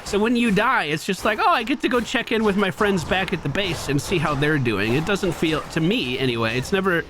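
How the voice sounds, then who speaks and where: Animated, higher-voiced man doing game commentary. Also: fairly quick, noisy background. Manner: chatty, explanatory, playful slang. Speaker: game streamer. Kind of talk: casual gameplay commentary.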